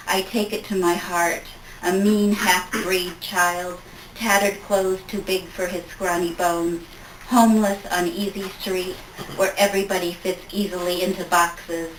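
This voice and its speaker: A woman reading poetry aloud at a live event, measured and in short separate phrases. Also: fairly low pitch. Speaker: poetry reader at a tribute event. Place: live event room, recorded from a distance. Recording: echoey room, distant mic, faint noise.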